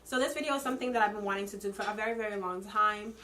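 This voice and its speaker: A woman's voice: mid-range voice, rapid-fire, pushed and bright. Chatty young woman with a fading voice. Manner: chatty, filler-heavy, personal opinions. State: losing her voice, runny nose. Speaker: beauty vlogger. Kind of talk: casual makeup product review.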